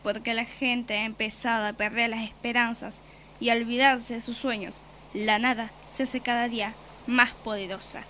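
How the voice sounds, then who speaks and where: A woman playing a menacing, mocking beast in a radio drama, with a forceful, biting voice and a taunting, commanding manner. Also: a little high, level tone, normal speed, a little hiss or hum. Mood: grim, scornful. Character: menacing beast, servant of the Nothing, mocking predator.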